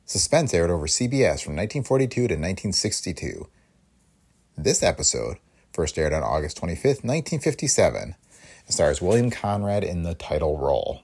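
Deep, gravelly male podcast host. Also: a little mellow. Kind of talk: scripted introduction narration.

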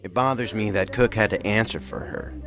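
A man's voice: lowish voice, a little fast, close to an everyday voice. An actor playing a skeptical, matter-of-fact male investigator in a science fiction audio drama. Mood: serious.

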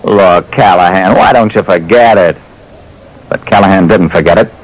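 A man playing a hard-boiled private detective, narrating his cases dramatically in an old-time radio show. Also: deep voice. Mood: weary, blunt, then measured narration. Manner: dramatic, deliberate. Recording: noisy old radio recording.

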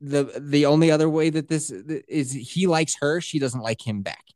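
A man's voice: on the high side, moderate pace, dry recording, studio quality. A man hosting an advice podcast, giving blunt, crude opinions in casual chat. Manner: blunt, crude, animated storytelling. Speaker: podcast host.

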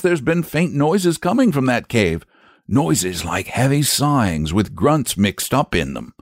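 A man's voice: mid-range voice, no room sound, clean studio sound, pitched above the performer's own voice, full character voice. A man voicing a nervous, indignant country shepherd in a storybook, rambling in rustic dialect with emphatic repetitions. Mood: nervous, awed.

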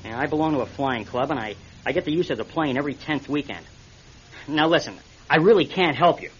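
An actor playing a reluctant, cautious young amateur pilot in a classic radio drama, casual and stammering. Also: male voice, on the high side, a little fast, lightly acted. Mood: apologetic, firm. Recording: dull, slightly noisy radio drama recording.

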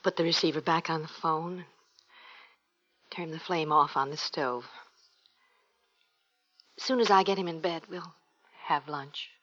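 A woman's voice: sits low, unhurried, pauses often, a bit bright. An actress playing an anxious, bickering young mother and wife in a 1950s radio drama. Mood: weary, subdued. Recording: dull vintage radio recording.

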